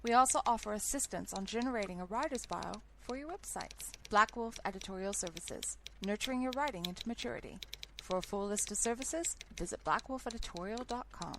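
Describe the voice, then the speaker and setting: A woman reads a radio ad in a calm, polished way. Clear keyboard clicks and typing sound behind her voice. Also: mid-range voice, brisk, heavy background noise. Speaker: ad voice-over. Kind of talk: scripted ad read.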